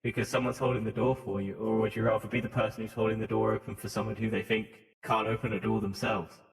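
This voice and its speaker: A man's voice: lowish voice, flat delivery, fast talker, vocal fry. A man with a British accent leaning American, joking and swearing in casual podcast banter through a voice modulator. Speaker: podcast co-host. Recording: echoey, long reverb on voice.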